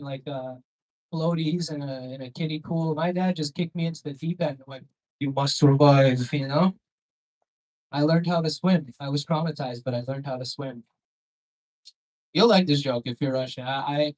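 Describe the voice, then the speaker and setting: This is a Canadian man doing stand-up on a comedy club stage, in a voice pitched a bit higher than most men's. He talks in a rambling, conversational way with a sardonic edge, swears, and turns to question the audience as he goes. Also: a little mellow, low-quality recording. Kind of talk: stand-up comedy with crowd work.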